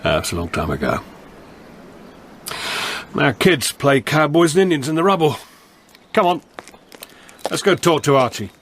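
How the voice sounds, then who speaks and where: An actor playing a middle-aged, seasoned policeman with a husky voice, steady and firm, in a radio drama. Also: a little high, measured pace, pauses often, a little hiss or hum. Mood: matter-of-fact. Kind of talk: radio drama acting with first-person narration.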